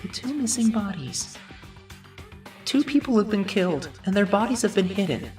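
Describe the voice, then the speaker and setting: A woman's voice: medium pitch, lively intonation, moderate pace, a little mellow, recorded from a distance, soft background music, natural voice. A woman voicing an earnest, uneasy teenage boy student in a pensive, hesitant inner monologue for an anime game fan dub. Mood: pensive, worried.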